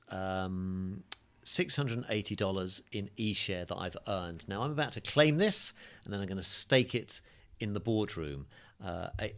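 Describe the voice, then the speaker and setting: Upbeat low-voiced male crypto tutor. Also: fairly bright tone. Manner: chatty and upbeat, thinking aloud with fillers. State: slightly losing his voice, coughing. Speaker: crypto course instructor. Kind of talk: screen-share tutorial walkthrough.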